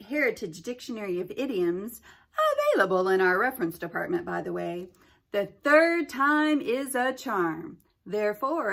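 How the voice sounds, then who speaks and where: A woman voicing a jolly, booming announcer who welcomes visitors over ceiling speakers. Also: creaky, fairly bright tone, exaggerated voice. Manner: booming, cheerful, showman-like. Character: jolly booming library announcer, showy and cheerful.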